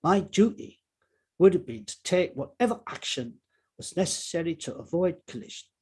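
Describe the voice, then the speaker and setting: A man's voice: high-pitched, big pitch swings, normal speed, darkish tone, breathy edge, exaggerated voice. A man playing a terse, guarded riverboat captain under questioning in a courtroom drama table read, giving clipped, unyielding answers.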